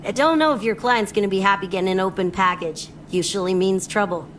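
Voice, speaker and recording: A woman's voice: mid-range voice, fairly quick, fairly bright tone, slight background noise. An AI-generated woman's voice playing a tough, guarded young nomad mercenary in video game dialogue, speaking curtly and matter-of-factly.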